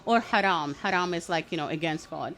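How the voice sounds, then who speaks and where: A woman's voice: lowish voice, brisk. A woman in her fifties who grew up in Greece, a comedian guest telling candid stories in a casual studio interview. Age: 50s. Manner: candid, animated storyteller. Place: podcast studio.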